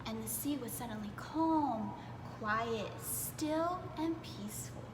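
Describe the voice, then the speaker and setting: A woman reading aloud to young children in a playful, expressive way, dwelling on words for emphasis. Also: on the high side, takes it slowly. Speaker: preschool storytime reader. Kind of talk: animated children's read-aloud. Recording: echoey reverberant room with clear background noise.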